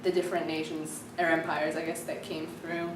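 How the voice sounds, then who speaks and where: A woman's voice: sits low, flat delivery, a little fast, noisy background. A young woman student talking casually and enthusiastically about her class, with many fillers. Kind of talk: interview, casual reflection. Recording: echoey room from far off, music behind.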